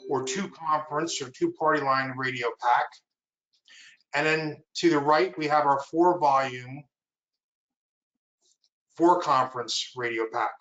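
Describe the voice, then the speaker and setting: A man's voice: fairly high pitch, reverberant, highs cut off. A man giving a product presentation, walking through slides and asking for the next one. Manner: steady explanatory walkthrough, asks for the next slide.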